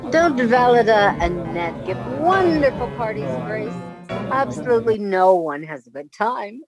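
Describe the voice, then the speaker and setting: A woman's voice: a little high, sing-song, unhurried, music in the background, poor audio. A woman playing a catty, gushing society party guest in an arch, exaggerated radio mystery parody. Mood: catty delight. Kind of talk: over-the-top old-time radio mystery parody.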